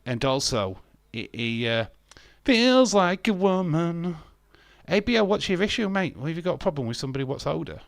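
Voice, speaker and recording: A man's voice: on the high side, big pitch swings, moderate pace, gravelly, dry recording. A man in his fifties hosting a live stream, reading chat comments aloud and answering them bluntly with sarcasm and frequent swearing. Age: 50s.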